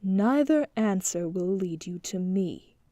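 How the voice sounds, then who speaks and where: Cold villain, read by a woman, slow and quietly menacing, dry close sound. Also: medium pitch, lively intonation, dry recording. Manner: slow, measured, quietly threatening. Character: menacing male crime boss, cold and scornful.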